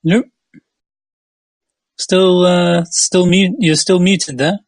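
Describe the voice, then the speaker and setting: Male moderator, somewhat high-pitched, calm and patient as he explains. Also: drawn-out words, no room sound. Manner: patient, instructive. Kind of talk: explaining tech help on a voice call.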